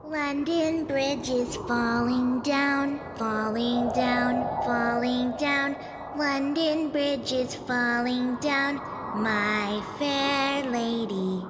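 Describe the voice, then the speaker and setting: Eerie, very slow childlike singer. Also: female voice, high-pitched, fairly even intonation, loud music behind the voice. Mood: eerie, singsong. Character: eerie childlike female singer of a nursery rhyme. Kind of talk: slow singing in horror game.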